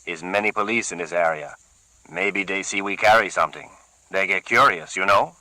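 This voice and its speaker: A man voicing a menacing Puerto Rican gang leader who talks slowly and quietly in broken English with a Puerto Rican Spanish accent. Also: dry recording, low-quality recording. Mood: cold. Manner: slow, low, murmuring, broken English.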